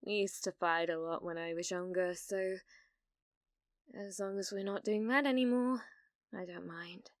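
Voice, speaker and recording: A British woman playing a needy, delusional young gamer in an ASMR roleplay, hesitant and stammering, blithely casual about dark things. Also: medium pitch, steady tone, measured pace, no echo, studio quality, natural voice. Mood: casual, chatty.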